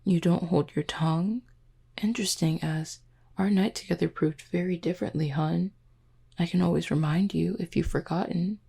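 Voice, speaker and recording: A woman voicing a flirty, composed female werewolf second-in-command in an audio roleplay, teasing and measured, with a low, husky voice. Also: fairly even intonation, dark tone. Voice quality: low, husky, breathy with some creak. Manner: teasing, measured, deliberate wording. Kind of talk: roleplay audio drama, intimate one-sided dialogue.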